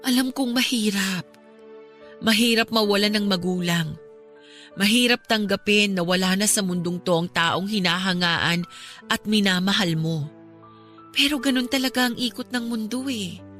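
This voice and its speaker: A woman's voice: mid-range voice, moderate pace, a bit bright, faint music behind, lightly acted. A woman playing a caring, steadfast young woman who consoles and encourages, in an earnest radio drama style. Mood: gently comforting. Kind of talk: Filipino radio drama acting.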